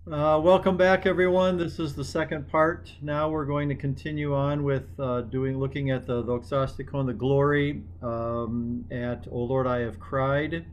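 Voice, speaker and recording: A man's voice: medium pitch, little pitch movement, measured pace, hardly any pauses, a little hiss or hum. A man hosting an online music class session, talking with hesitant ums and restarts.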